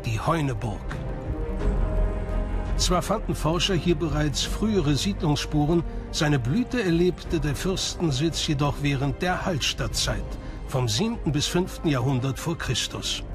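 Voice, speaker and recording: A man's voice: a little high, lively intonation, unhurried. A man reading scripted documentary narration. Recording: music bed under the voice. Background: background music.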